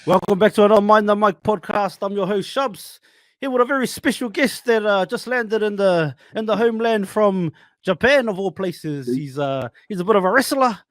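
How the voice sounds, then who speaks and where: Upbeat New Zealand podcast host. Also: male voice, sits high, moderate pace, dry recording, studio quality. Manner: upbeat, animated, calls guest "brother". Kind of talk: casual interview chat.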